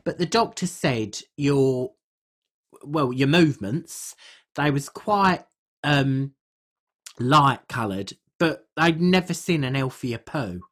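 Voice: mid-range voice, animated, takes it slowly. A podcast co-host, chatting casually and comically in a rambling way with colloquial, non-standard grammar.

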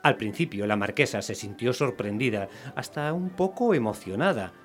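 A man's voice: medium pitch, very animated, normal speed, soft background music, close to an everyday voice. A man narrating a scripted story in the style of radio theatre.

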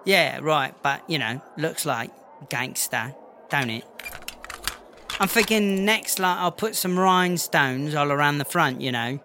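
A man plays a proud, chatty British woman behind bars who fancies being a gangster rapper. The voice sits fairly high and talks in colloquial, show-off style for an audio comedy, with clear background noise and ambience. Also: animated, a little slow, sharp tone, full character voice. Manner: colloquial, showing off.

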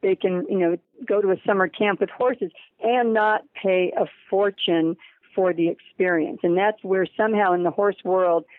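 A woman's voice: medium pitch, steady tone, moderate pace, no echo. Woman guest on a tinny phone line. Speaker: interview guest. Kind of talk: casual spoken pitch for a program.

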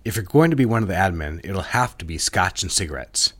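Man acting a deep, husky, intense ad boss. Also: expressive, fast talker, a bit bright. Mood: businesslike, instructive. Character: married 1960s ad executive, smooth, intense, commanding boss. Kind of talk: period romantic audio drama acting.

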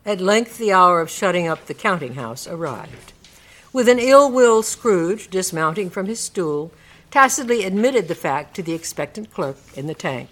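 A woman's voice: on the low side, lively intonation, normal speed, a bit bright. A woman narrating a classic story in a dramatic storybook style for a radio play.

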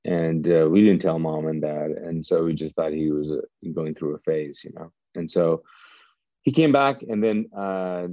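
A middle-aged man with a very low, mellow voice, from Kuwait, whose first language is Urdu. He tells his story animatedly and often restarts sentences. The sound is dry and slightly dull and lo-fi. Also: moderate pace, no room sound. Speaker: pastor, guest. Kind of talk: casual storytelling interview.

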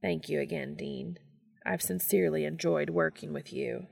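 A reader playing an earnest, reserved male TV producer in an audiobook story, with formal, measured phrasing. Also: medium pitch, fairly even intonation, gravelly, husky. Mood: formal, flustered.

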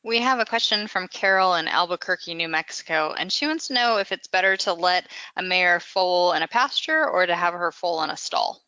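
A woman with a bright, crisp voice reads listener questions aloud as moderator. Her sound is dry and a little muffled. She speaks in a clear, measured way. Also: fairly low pitch, no room sound. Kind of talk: reading audience questions.

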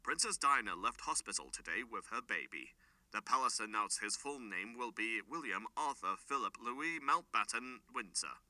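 A man plays a formal radio newsreader from the 1980s who reads each headline evenly. His voice is very bright and the sound is dry. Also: medium pitch, no room sound, poor audio. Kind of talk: formal news reading.

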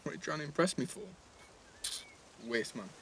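Lively, cheeky English teenage lad. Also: male voice, fairly high pitch, fairly quick, slight background noise, poor audio. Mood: wry, probing. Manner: teasing, slangy, blunt. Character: cheeky teenage boy in care, teasing, blunt, guarded. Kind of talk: naturalistic audio drama dialogue.

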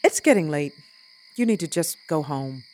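A woman's voice: low-pitched, lively intonation, moderate pace, a little mellow. An actress playing an exasperated, wounded woman in an audio drama, blunt and defensive. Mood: weary, curt.

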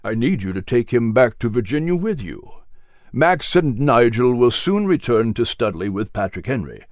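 A narrator voicing a calm, wise and kindly male guide in a children's audiobook. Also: mid-range voice, normal speed, no echo, studio quality, natural voice. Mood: reassuring.

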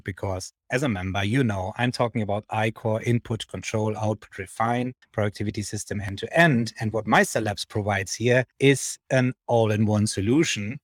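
A man's voice: lowish voice, no room sound, clean studio sound. A man hosting an interview podcast, speaking in an enthusiastic manner. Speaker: podcast host.

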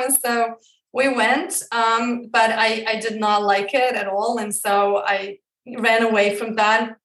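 A woman from Croatia, a guest in an interview, talking about her life in a searching way, with many pauses and restarts. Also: fairly high pitch, fairly bright tone, roomy sound, far from the mic, rough recording. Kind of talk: reflective personal interview.

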